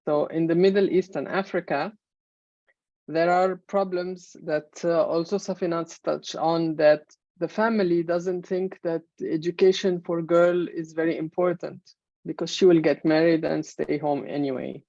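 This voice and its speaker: A woman's voice: on the low side, steady tone, warm tone, dry recording. Egyptian woman giving an online talk. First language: Arabic. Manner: measured, explanatory, frequent fillers. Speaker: main speaker. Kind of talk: webinar presentation. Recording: dull, muffled webinar audio.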